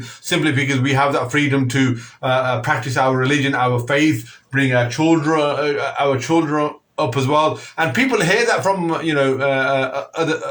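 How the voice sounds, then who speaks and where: A middle-aged man hosting a community radio phone-in, who talks in halting bursts full of fillers and restarts. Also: medium pitch, fairly bright tone. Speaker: radio show host.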